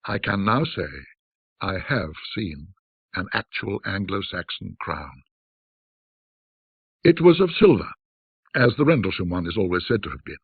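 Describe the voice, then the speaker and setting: A man narrating a classic ghost story in a measured, dramatic, old-fashioned storytelling manner. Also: fairly low pitch, big pitch swings, husky, dry recording, phone-quality sound.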